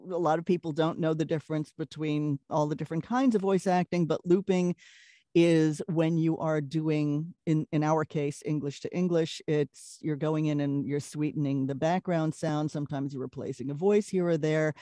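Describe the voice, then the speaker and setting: A middle-aged woman with a Brooklyn accent and a deeper voice, telling lively anecdotes in a casual interview and laughing often. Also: moderate pace, no room sound, clean studio sound. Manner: animated storyteller, laughs often. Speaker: voice actress, guest.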